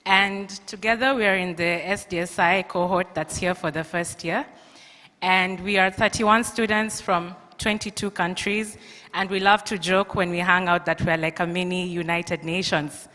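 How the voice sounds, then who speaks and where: A woman's voice: on the low side, steady tone, normal speed, bright, forceful tone. A woman from Kenya, a student, giving an upbeat conference presentation. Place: conference hall. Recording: long hall reverb.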